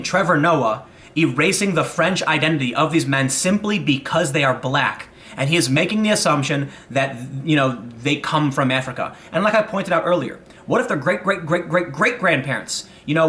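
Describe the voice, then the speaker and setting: A man's voice: a little high, fairly bright tone. A young American man giving an opinion monologue, worked up and stumbling over restarts as he argues. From United States. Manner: agitated, rapid restarts and stammers. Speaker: political commentator.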